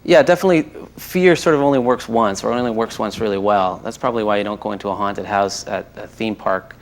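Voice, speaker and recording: A man's voice: lowish voice, quick pace. A man speaking as a guest panelist in a conversational film discussion, thinking aloud as he goes.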